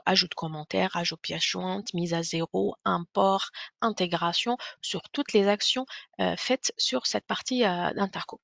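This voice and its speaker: A woman giving an explanatory software webinar walkthrough, speaking hesitantly with frequent pauses. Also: medium pitch, gravelly, no room sound, studio quality.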